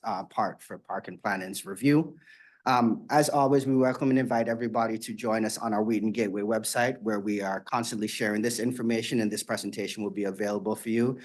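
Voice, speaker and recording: Guy walking a community meeting through building plans, lowish voice, lots of uhs and ums. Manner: steady explanatory, frequent fillers. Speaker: developer presenter. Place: meeting room.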